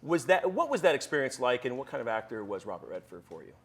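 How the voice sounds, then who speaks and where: Brief: male film interviewer, quick and slightly husky, long winding questions. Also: medium pitch, sing-song. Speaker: entertainment interviewer.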